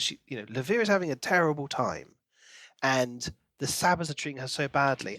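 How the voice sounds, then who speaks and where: Male co-host, slightly high voice, enthusiastic and informal book chat, dry recording. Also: fairly bright tone, dry recording. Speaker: podcast co-host. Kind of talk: casual book discussion.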